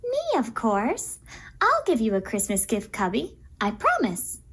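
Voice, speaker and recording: A woman's voice: fairly high pitch, huge pitch swings, measured pace, fairly bright tone, slightly rough, heavily acted. A woman voicing a kind young female lamb in a children's puppet show, sweet, girlish and reassuring.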